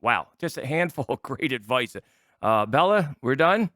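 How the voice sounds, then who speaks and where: A man's voice: medium pitch, big pitch swings, moderate pace, sharp tone, no echo, clean studio sound. A middle-aged man casually hosting an interview, effusive and complimentary toward the people he talks with. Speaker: talk show host.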